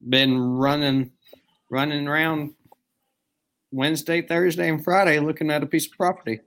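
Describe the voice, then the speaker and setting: A man's voice: a little high, level tone, normal speed, fairly bright tone. Guy on a video call, mid voice, easygoing.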